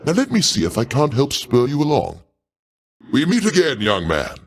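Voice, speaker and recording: A man's voice: medium pitch, wildly swooping pitch, moderate pace. A man voicing a mighty older god king for a video game, a genial, doting uncle with grand, courtly old-fashioned diction. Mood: grand, encouraging. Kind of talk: theatrical video game voice acting.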